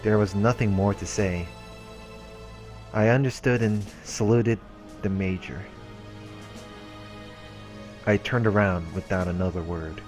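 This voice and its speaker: Deep-voiced man narrating a visual novel. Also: steady tone, measured pace, pauses often. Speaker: let's play host reading aloud. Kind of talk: scripted narration, reading visual novel text aloud. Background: soft game music.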